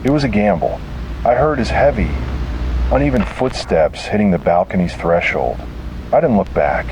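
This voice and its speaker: A man reads a scripted first-person horror story dramatically, in short deliberate phrases. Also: fairly low pitch, expressive, noisy background.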